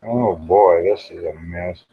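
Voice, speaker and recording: A man's voice: low voice, takes it slowly, gravelly, husky. A man, hosting a casual, rambling livestream in a folksy, muttering way on dull, lo-fi audio. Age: 50s. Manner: folksy, muttering to himself while browsing. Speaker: livestream host. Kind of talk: casual rambling live chat.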